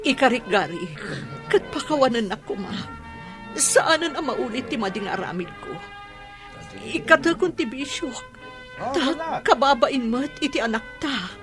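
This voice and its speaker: A woman's voice: high voice, lively intonation, measured pace, pauses often. A woman playing a remorseful middle-aged mother in an emotional radio drama, with a Filipino accent. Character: remorseful middle-aged mother begging her family's forgiveness. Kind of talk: Ilocano radio drama acting. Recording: music bed behind voice.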